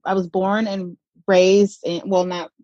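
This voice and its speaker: A woman's voice: medium pitch, little pitch movement, unhurried, dark tone, dry recording, muffled recording. A woman in her thirties from the Southern United States, guest on a podcast, answering in a casual, chatty way with many fillers and easy laughs. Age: 30s. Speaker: podcast guest, platform creator.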